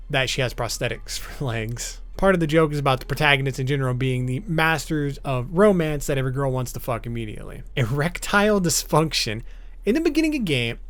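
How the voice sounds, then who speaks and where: A man narrating an explainer video in an informal, semi-scripted way. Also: fairly high pitch.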